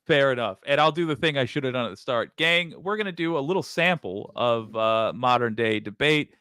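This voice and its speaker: A man's voice: mid-range voice, lively intonation, fairly quick, sharp tone, clear, resonant tone, no echo, studio quality. A man hosting a podcast, casual and offhand, introducing a debate clip with jokey asides. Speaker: podcast host. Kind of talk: casual chat reacting to a clip.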